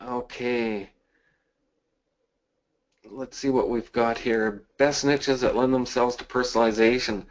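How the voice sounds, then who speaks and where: A man's voice: mid-range voice, fairly even intonation, slow pace, pauses often. A man from Canada hosting a live sales webinar, answering chat questions in a casual, upbeat way with many fillers. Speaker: webinar host. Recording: dull lo-fi audio.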